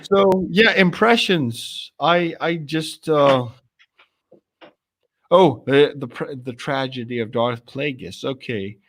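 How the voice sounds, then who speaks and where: Rambling young man with a deep voice. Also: slow pace. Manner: rambling, animated storytelling with many fillers and restarts. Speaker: streamer. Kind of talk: casual chat.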